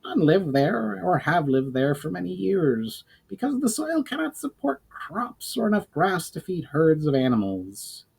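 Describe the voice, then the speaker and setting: Casting: a man as an earnest goblin sidekick for a fantasy storybook, high-pitched, formal, full of questions. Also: very animated, measured pace, gravelly, few pauses, a little mellow, husky, full character voice, poor audio. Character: inquisitive male goblin companion, earnest and formal.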